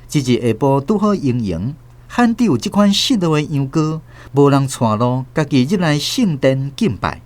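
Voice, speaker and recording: A man's voice: mid-range voice, big pitch swings, normal speed, a little mellow. A man with a Taiwanese accent narrating a radio drama as a scripted, dramatic storyteller.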